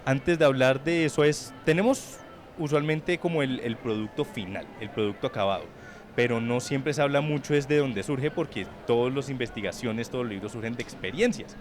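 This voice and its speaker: A man speaking politely and with measured phrasing as he hosts a semi-formal live interview. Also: medium pitch. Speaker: publishing house director hosting a book launch interview. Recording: busy book fair crowd behind. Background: crowd chatter at a book fair.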